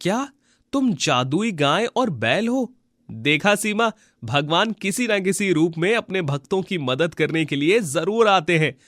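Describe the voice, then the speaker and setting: Guy doing kind honest village husband for a cartoon story, bit high voiced, super earnest and pleading. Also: lively intonation, measured pace, few pauses, a bit bright, no room sound, studio quality. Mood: amazed, grateful. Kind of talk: animated moral folk tale dialogue.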